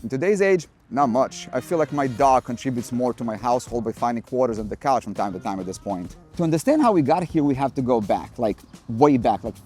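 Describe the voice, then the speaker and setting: A man's voice: mid-range voice, animated, a little fast, few pauses, darkish tone. A man from Serbia with a Serbian accent, Serbian his first language, talking wryly and self-deprecatingly as a photography vlogger. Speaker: photography YouTuber. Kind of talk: scripted vlog monologue. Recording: faint music underneath. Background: faint music.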